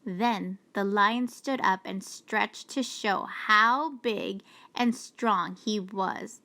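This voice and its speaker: A woman reading a children's storybook aloud with deliberate, expressive storytelling. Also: medium pitch. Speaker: storybook reader. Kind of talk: children's read-aloud narration.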